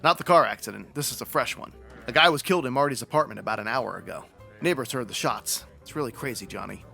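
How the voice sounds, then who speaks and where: An actor playing a gruff, weary homicide sergeant with clipped delivery in a 1950s-style radio detective drama. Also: male voice, mid-range voice, quick pace, bright, forceful tone, soft background music. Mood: brisk.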